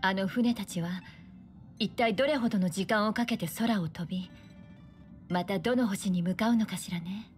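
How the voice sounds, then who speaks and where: A woman playing an elegant, confident navigator in an anime-style game, poised, conversational and gently playful. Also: medium pitch, normal speed, natural voice. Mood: wistful. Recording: faint music under the voice.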